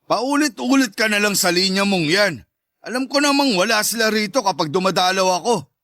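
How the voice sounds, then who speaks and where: A man acts out a jealous macho ex-husband in an audio drama, domineering and mocking. His voice is high, bright and cutting, with a sneering delivery and a dry, close sound. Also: lively intonation, a little fast, no room sound. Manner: sneering, taunting. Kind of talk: Tagalog audio drama dialogue.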